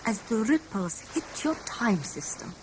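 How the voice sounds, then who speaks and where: A woman's voice: on the high side, sing-song, measured pace, noisy background. A woman playing a cryptic, formal mentor with mysterious powers in a sci-fi audio drama. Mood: grave, cryptic. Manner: formal, grave, enigmatic.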